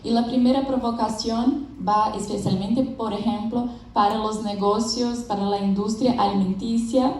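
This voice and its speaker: A young Brazilian woman with a Portuguese accent gives a friendly talk at a business conference, pausing to find her words and laughing now and then. Her voice is mellow and dark. The sound is very echoey, picked up from a distance in a big hall. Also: fairly high pitch, fairly even intonation, slight background noise. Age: young adult. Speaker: conference speaker. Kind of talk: conference presentation. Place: large event hall with audience.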